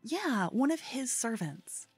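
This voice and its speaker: A woman playing a cute, obsessed con-woman fangirl in a comedic ASMR roleplay, soft, often whispery, eager and cheekily salesy. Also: fairly high pitch, very animated, slow, husky, soft background music, full character voice. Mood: earnest, defensive. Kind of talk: ASMR roleplay, comedic one-sided dialogue.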